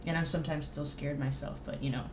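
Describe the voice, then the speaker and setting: A woman's voice: sits low, fairly even intonation, fast talker. A woman chatting casually and candidly as a podcast guest, in an informal, self-deprecating way. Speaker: band drummer, podcast guest. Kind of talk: casual personal chat. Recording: roomy echo with faint noise.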